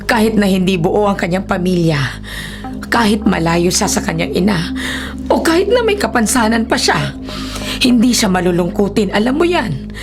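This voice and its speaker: A woman's voice: medium pitch, sing-song, moderate pace. A woman playing a devoted, protective older nanny in a radio horror drama, earnest and emotional in delivery. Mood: troubled. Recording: dramatic music bed under the voice.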